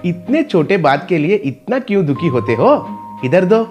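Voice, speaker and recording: A man's voice: sits high, sing-song, normal speed, darkish tone, lo-fi sound. A man voicing a kindly, reassuring scientist in a children's cartoon story, over light music. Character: kindly helpful male scientist uncle, reassuring.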